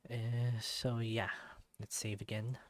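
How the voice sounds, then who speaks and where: A person reading a visual novel aloud and commenting casually, thinking out loud in a hesitant, reactive way. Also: medium pitch, little pitch movement, takes it slowly. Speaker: let's play narrator and commentator. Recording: background music behind the voice.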